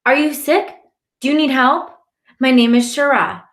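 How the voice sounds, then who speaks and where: A woman's voice: high-pitched, fairly even intonation, unhurried, echoey room, pitched above the performer's own voice. A woman voicing a cheeky, eager little girl with a direct childlike manner in an audiobook. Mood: curious, feisty.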